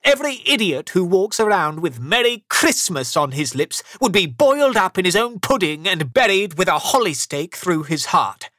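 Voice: mid-range voice, sing-song, normal speed, few pauses, a bit bright, no echo, studio quality, voice pushed up. A narrator voicing a grumpy, miserly old businessman from a storybook, snapping scornfully and emphatically. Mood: angry, indignant.

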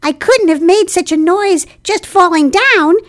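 A woman voicing a small, timid, anxious young piglet from a children's storybook, thin and squeaky, with an earnest, fretful manner. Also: measured pace. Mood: puzzled. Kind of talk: children's storybook character reading.

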